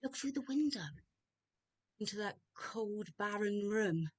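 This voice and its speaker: A woman's voice: mid-range voice, lively intonation, unhurried. A woman playing a solemn, commanding ageless spirit in a radio play, with a stately, declamatory manner. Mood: probing. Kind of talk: radio play rehearsed reading over video call. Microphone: video call.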